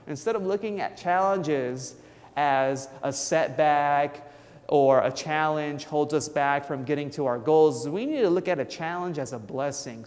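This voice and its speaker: A man in his thirties with a fairly high voice gives an earnest motivational talk. He speaks emphatically, now and then breaking off to restart a word. The sound carries a long hall reverb. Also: darkish tone. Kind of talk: inspirational speech.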